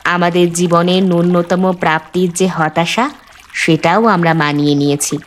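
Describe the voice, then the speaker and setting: A woman's voice: fairly low pitch, fairly even intonation, normal speed, slight background noise, lightly acted. A woman voicing a plain-spoken, hardworking wife who lives on a boat, resigned and contented, in cartoon dialogue. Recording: background music under voice.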